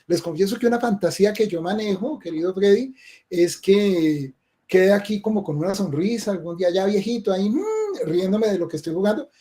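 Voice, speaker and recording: Colombian guy hosting a webinar on a video call, kinda high voice, soft mellow tone, chatty. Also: animated, fairly quick. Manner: chatty, tags remarks with "¿sí?". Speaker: webinar host and game streamer. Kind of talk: casual webinar chat.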